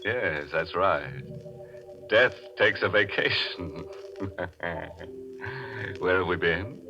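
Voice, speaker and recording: An actor playing a gleeful, ghoulish horror-show host, mock-sinister and teasing, in 1940s radio style. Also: male voice, deep voice, expressive, very slow, full character voice. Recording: muffled 1940s radio, loud organ-era music.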